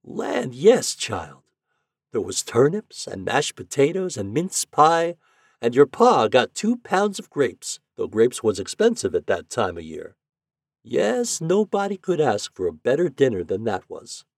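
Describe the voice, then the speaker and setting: A man acts out an affectionate elderly grandmother from a children's story. She speaks in homely rural phrases, contented and encouraging, with a lifted, lilting pitch. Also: wildly swooping pitch, a little slow, creaky, dry recording, clean studio sound, exaggerated voice. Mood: wistful, satisfied. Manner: folksy, homely rural speech.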